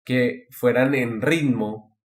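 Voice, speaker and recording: A man hosting a casual podcast chat about anime, explaining things in a rambling, halting way with repeated words. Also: mid-range voice. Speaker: podcast host.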